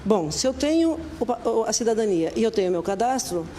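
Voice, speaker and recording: A woman, an honorary vice-consul, giving interview answers and explaining steps carefully, spelling details out slowly. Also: on the high side, expressive, dark tone, a little hiss or hum. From Brazil.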